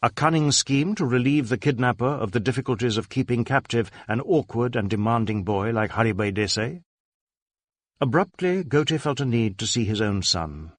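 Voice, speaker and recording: A man narrating a detective novel as an audiobook, with measured, dramatic storytelling. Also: medium pitch, expressive, no echo, clean studio sound.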